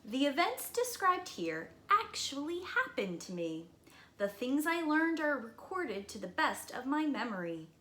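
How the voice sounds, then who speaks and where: A woman with a high, lively, swooping voice reads a children's story like a preschool teacher. She leans into dramatic emphasis and drops to hushed tones for suspense. The room sounds echoey. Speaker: preschool teacher reading a picture book aloud. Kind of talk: expressive children's read-aloud. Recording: roomy echo, light background noise.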